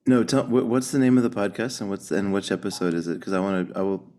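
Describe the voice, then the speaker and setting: A man hosting a film podcast interview, curious and eager, chatting casually with quick asides. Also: low voice, mellow. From United States. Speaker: podcast host.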